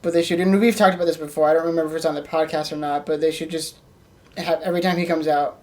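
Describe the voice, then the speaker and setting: A woman co-hosting a podcast talks very quickly and casually. Her pitch stays level, with little rise or fall. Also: fairly even intonation, a little mellow. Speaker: podcast co-host. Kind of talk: casual wrestling discussion.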